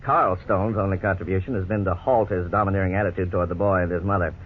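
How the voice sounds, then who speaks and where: A man plays a legal expert in a 1950s radio play, laying out a case in a calm, measured way. His voice is very deep, and the sound is thin and tinny with a faint hiss. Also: lightly acted. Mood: explaining. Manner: measured, explanatory. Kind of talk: 1950s radio drama acting.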